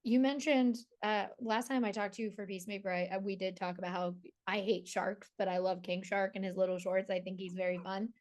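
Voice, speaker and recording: A woman's voice: medium pitch, flat delivery, a little fast, hardly any pauses, a little mellow. A woman interviewing in a casual, chatty style with the enthusiasm of a fan. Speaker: entertainment interviewer.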